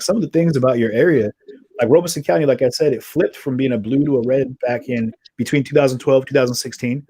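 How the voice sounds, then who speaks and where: A man's voice: mid-range voice, darkish tone, breathy edge, no echo. A man talks through his points in long, earnest stretches, circling back and restarting as he goes. His voice sits in a middle register. The sound is dry and close, with no room around it. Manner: rambling, earnest, trails off and restarts. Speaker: podcast host. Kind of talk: conversational interview.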